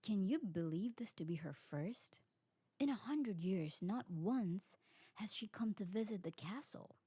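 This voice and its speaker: A woman playing an elegant, sociable vampire aristocrat in an ASMR roleplay, refined and formal, with a soft husky voice. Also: mid-range voice, animated, unhurried, dry recording, clean studio sound. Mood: poised, sociable. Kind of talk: scripted ASMR roleplay acting.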